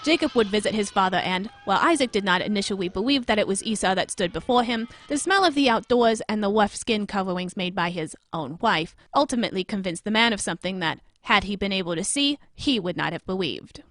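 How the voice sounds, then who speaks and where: Earnest female anchor , acted by a woman, medium pitch, measured dramatic delivery for a newsmagazine parody. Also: lively intonation, dry recording, lightly acted. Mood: grave, intrigued. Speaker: host. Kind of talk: scripted newsmagazine narration in audio drama.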